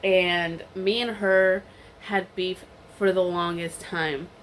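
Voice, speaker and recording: A young woman with a slightly low voice tells a story, loose and chatty, with many fillers and restarts. Her nose sounds a little stuffed up from a cold. A faint hiss of noise runs behind her. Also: fairly even intonation, slow. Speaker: vlogger. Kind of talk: casual storytime while eating.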